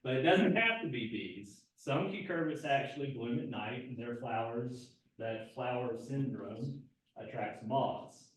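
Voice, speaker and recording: A soft-spoken man, an extension agent, teaching a gardening class and explaining at an easy pace with frequent fillers and restarts. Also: medium pitch, a little slow. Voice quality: soft-spoken, not projecting. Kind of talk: live classroom lecture. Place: indoor class room. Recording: very echoey room, mic far from speaker.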